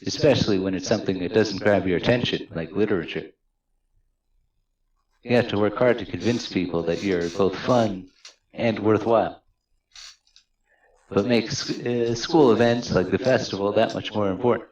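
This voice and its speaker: A man's voice: lowish voice, normal speed, long pauses, darkish tone, lo-fi sound, slight background noise, lightly acted. A man voicing a confident, encouraging schoolgirl club leader in a visual novel game, reading earnestly from the script.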